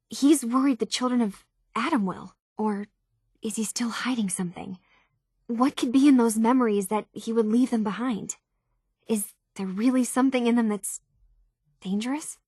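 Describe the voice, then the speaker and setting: Young female runaway, game dialogue: earnest, anxious, curious, thoughtful; slightly high pitch, dry studio sound. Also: normal speed, a little mellow, slightly rough, no echo. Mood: thoughtful, concerned. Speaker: video game voice actor. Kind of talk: naturalistic video game dialogue.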